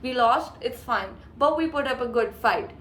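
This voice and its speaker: A woman's voice: on the high side, lively intonation, normal speed, a bit bright, slight background noise. A woman co-hosting a podcast in casual chat, slipping Urdu phrases into her English. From Pakistan. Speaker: podcast co-host. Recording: echoey room.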